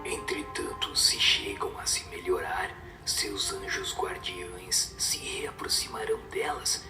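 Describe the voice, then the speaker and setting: A man's voice: low-pitched, steady tone, takes it slowly, reverberant, over music, pitched below the performer's own voice. Man voicing a stern, whispering otherworldly spirit. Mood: solemn admonishing. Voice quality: breathy whisper. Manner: solemn, admonishing. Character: stern superior spirit giving severe moral counsel. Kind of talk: Portuguese-language audio drama of a spiritist book.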